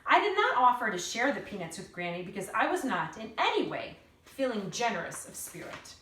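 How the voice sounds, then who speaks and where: A woman's voice: a little high, sing-song, brisk, bright, forceful tone. A woman reading a children's novel aloud as an earnest, indignant young girl, with emphatic, solemn storytelling. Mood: earnest, wistful. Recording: roomy echo, distant mic.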